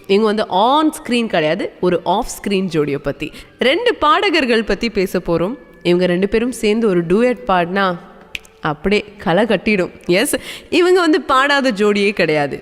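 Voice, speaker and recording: A woman hosting a radio show in an excited, chatty way, talking straight to her listeners. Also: mid-range voice, normal speed, long reverb tail. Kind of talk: upbeat radio show presenting.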